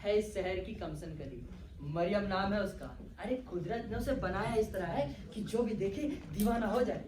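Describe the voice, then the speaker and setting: An actor playing a dreamy, stubborn young elder brother in love in a stage play, with dramatic, flowery delivery. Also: male voice, high-pitched, normal speed, a little mellow, natural voice. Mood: dreamy, resolute. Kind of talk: Hindi-Urdu stage play acting. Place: stage of a theatre hall. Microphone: far from the actors. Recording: echoey hall, distant mic, faint noise.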